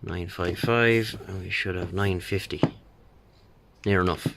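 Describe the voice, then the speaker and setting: An Irish man talking casually through a repair job, thinking aloud in an unhurried, colloquial way. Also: deep voice, creaky. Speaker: radio repair technician. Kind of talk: casual spoken bench walkthrough. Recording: steady background noise, bench clicks.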